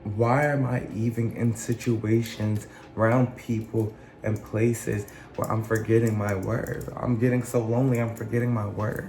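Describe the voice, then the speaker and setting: A man talking in a casual, earnest monologue, his voice still rough from being sick, often circling back and restarting his phrases. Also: fairly low pitch, flat delivery, unhurried, few pauses, darkish tone, echoey room, slight background noise. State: recovering from illness, voice still rough. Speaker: channel host.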